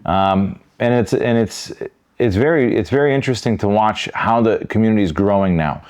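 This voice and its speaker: A man's voice: on the low side, moderate pace, darkish tone, clear, resonant tone. A man from Detroit with an American accent, talking conversationally as a podcast host. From Detroit, United States. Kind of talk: casual conversational discussion.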